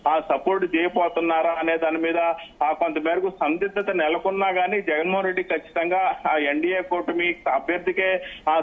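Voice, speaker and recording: Guy reporting live news over a tinny phone line, kinda high voice, halting and repeats himself. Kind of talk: live news report, unscripted political analysis. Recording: phone-like, thin narrowband line.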